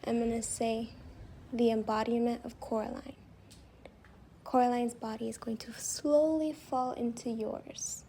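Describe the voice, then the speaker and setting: Soft breathy non-native english girl doing guided meditation, mellow and kinda whispery, super reassuring, bit of background hiss. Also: on the high side, fairly even intonation. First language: not English. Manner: gentle, reassuring, unhurried. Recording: faint background noise, home recording.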